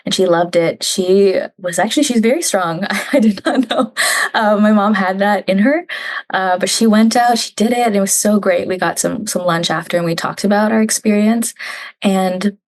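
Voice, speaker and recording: A woman's voice: mid-range voice, moderate pace. A young woman from Canada, a guest in a casual conversational interview, chatting and laughing often as she retells family talks. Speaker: digital specialist, guest.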